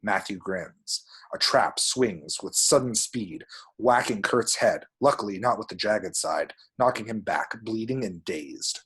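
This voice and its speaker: Male narrator, low and husky, reading stage directions at a script table read. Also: animated, measured pace, fairly bright tone. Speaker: reader of action descriptions at a table read. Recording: home recording.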